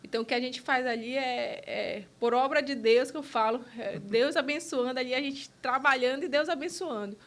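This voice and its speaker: A woman's voice: sits high, moderate pace, few pauses, fairly bright tone. A woman from Brazil, an entrepreneur being interviewed on a podcast, speaking earnestly in short bursts with frequent restarts. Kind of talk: casual interview conversation.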